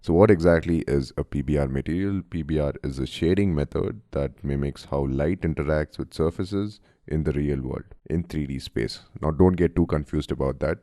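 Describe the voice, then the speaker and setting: A man from India with a cold, narrating a friendly software tutorial and calling his viewers guys. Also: extremely low pitch, moderate pace, few pauses, no room sound, clean studio sound. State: has a cold, voice deeper than usual. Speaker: software instructor. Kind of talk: screen-recorded tutorial narration.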